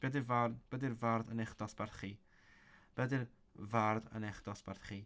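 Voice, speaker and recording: A man's voice: mid-range voice, steady tone, normal speed, a bit bright. A man streaming a language study session, reading from a textbook and thinking aloud with frequent chuckles. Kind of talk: casual livestream, reading a textbook aloud and commenting.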